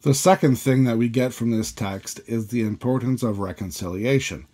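A man, a priest, giving a measured sermon full of questions, each sentence opening higher and settling low. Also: dark tone. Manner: measured, questioning preaching with rising openings and falling endings.

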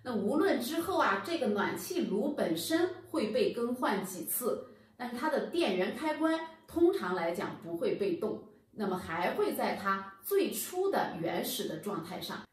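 A woman in her thirties explains a home-heating topic with a lively, rising and falling pitch, talking patiently to the viewer. She sounds a bit higher than most women. The voice rings in a strongly echoey room, picked up from some distance. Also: normal speed. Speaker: HVAC channel presenter. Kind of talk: instructional explainer. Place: plain indoor room against a bare wall.

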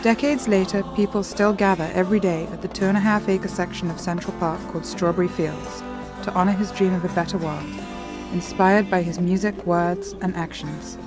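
A woman reading scripted documentary narration in a measured, even way. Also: on the low side, music in the background.

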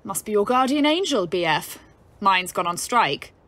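An actress playing a brash, irreverent, upper-class young British ambulance driver, bold and mocking in an audio play. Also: female voice, medium pitch, big pitch swings, moderate pace, fairly bright tone. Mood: teasing. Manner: bold, mocking, salty-tongued.

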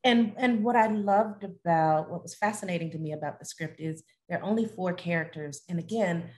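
A woman's voice: fairly low pitch, fairly quick, a little mellow. A middle-aged woman hosting a conversational interview over a video call from her home. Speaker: interview host, theatre director. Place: large living room at home on a video call.

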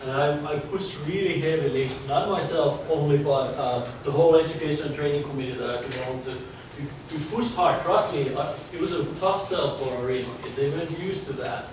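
A man's voice: a little high, brisk, hardly any pauses. A man in his forties from Finland with a Finnish accent, giving a chatty guest lecture to students in a large lecture hall. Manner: chatty, conversational, restarts phrases. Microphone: far camera, no mic seen. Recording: echoey hall, distant mic, background noise.

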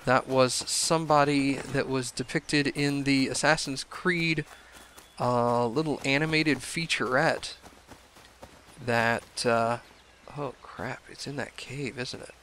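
A young man commenting casually on a video game he is playing, his voice a little hoarse from a cold, rambling with self-deprecating asides. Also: mid-range voice, fairly even intonation, slow pace, a bit bright, a little hiss or hum. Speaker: let's play gamer.

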